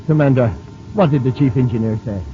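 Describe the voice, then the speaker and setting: A man's voice: mid-range voice, brisk, slight background noise, lightly acted. A man playing an inquisitive male scientist doctor in a 1950s radio drama. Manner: inquisitive, questioning. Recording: tinny, lo-fi old radio recording.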